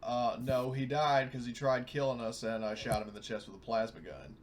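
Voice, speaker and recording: A man roleplaying casually at a tabletop game, speaking in a halting, stammering way. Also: mid-range voice, steady tone. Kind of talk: casual in-character roleplay chat. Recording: noticeable background noise.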